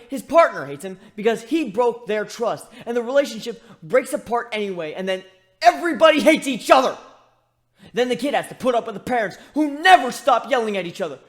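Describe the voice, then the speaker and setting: Man as an awkward, high-voiced, exasperated male griffin. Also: a bit bright. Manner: emotional, sarcastic.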